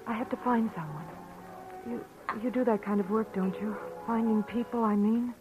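An actress playing a nervous, hesitant woman client in an old radio detective drama, halting and repeating herself. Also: a little high, music in the background, close to an everyday voice. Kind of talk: 1940s radio acting. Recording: dull old radio recording.

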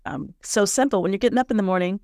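A woman's voice: medium pitch, fast talker, gravelly, darkish tone, no echo, clean studio sound. Stuffy-nosed woman hosting a motivational podcast. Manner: candid, earnest and encouraging. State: slightly stuffy from sinus pressure. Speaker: podcast host and personal coach.

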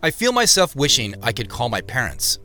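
A man narrating a scripted audio drama in the first person as a reflective investigator, with measured, descriptive storytelling. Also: medium pitch, huge pitch swings, pushed and bright, faint music behind. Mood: subdued, pensive. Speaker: narrator and lead character.

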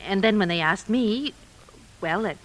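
Fretful grandmother, animated delivery. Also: medium pitch, unhurried, low-quality recording. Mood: hesitant, uneasy. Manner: solicitous, hesitant. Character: concerned, fretful mother of a grown daughter, a grandmother. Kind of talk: 1950s radio drama acting.

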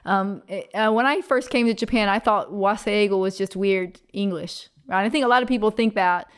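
A woman's voice: mid-range voice, a little fast, clear, resonant tone. A woman guest on a podcast, explaining conversationally and checking in with questions as she goes.

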